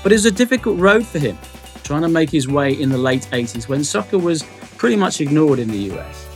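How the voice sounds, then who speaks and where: A man's voice: fairly high pitch, lively intonation, fairly quick, faint music behind. A man hosting a podcast, reading a scripted intro and asking direct, probing interview questions.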